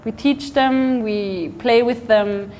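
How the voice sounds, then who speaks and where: A woman's voice: fairly high pitch, takes it slowly, darkish tone. Young Austrian woman volunteer, echoey room. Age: young adult. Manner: short simple sentences. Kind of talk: on-camera interview. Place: tiled indoor room. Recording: hall reverb, faint noise.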